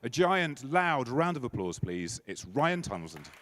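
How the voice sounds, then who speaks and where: A man's voice: mid-range voice, big pitch swings, a little slow, crowd noise, noisy background. A man introducing the next speaker at a conference, chatty and self-deprecating, with lots of fillers and restarts. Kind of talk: casual stage introduction. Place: conference stage.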